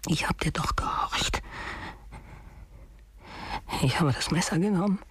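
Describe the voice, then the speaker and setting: An actor playing a tormented, obsessed killer in a radio drama, confessing slowly in a breathy, haunted whisper. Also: male voice, fairly high pitch, a bit bright. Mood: wounded devotion. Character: tormented, obsessed psychopathic killer pleading with a lost beloved. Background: music.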